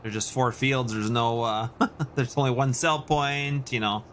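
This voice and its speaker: A man's voice: medium pitch, steady tone, unhurried, fairly bright tone, a little hiss or hum. A Canadian man chatting casually and in a rambling way as he hosts a video game livestream and responds to his chat. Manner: rambling, reacting to chat messages.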